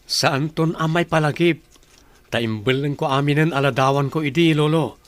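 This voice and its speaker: A man plays a young Ilocano grandson in a radio drama. His voice sits a little high, and he talks with new confidence, as someone set on leaving his past behind. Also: normal speed, dry recording, natural voice. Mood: resolute, bitter. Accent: Filipino, Ilocano. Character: young grandson, newly confident, bitter about past looks.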